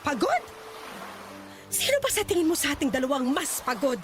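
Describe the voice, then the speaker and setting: High-pitched, domineering Filipino mother. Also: sing-song, brisk, soft background music. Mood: scolding. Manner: scolding, commanding, sharp-tongued. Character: domineering pageant-obsessed Filipino mother, impatient and pushy. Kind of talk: radio drama acting. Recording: faint background noise.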